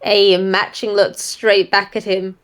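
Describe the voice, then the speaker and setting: A woman reading role-play story narration aloud in an expressive style. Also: mid-range voice, slow.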